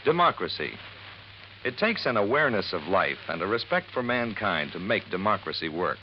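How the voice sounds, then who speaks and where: Brief: oratorical male announcer, lowish, animated pitch, earnest vintage public-service read. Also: normal speed. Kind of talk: 1950s radio public-service announcement, scripted. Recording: muffled old radio recording, faint noise.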